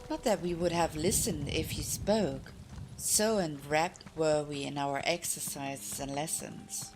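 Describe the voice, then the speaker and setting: A woman's voice: on the low side, a little mellow. A woman audiobook reader narrating a fantasy tale from a script. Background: music.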